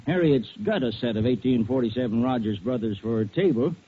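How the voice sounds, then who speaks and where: A man's voice: medium pitch, lively intonation, a little fast, lightly acted. Man acting a folksy shopkeeper, tinny radio. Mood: folksy, assured. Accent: American. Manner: folksy, assured. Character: folksy, plain-spoken silverware store owner. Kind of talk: 1940s radio commercial acting. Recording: thin, faintly noisy 1940s radio recording.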